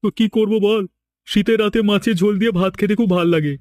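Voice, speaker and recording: A simple, fretful villager in a horror cartoon played by a man. The voice is fairly high and dry, plain-spoken with a scolding edge. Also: steady tone, fast, darkish tone, no room sound, lightly acted. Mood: puzzled.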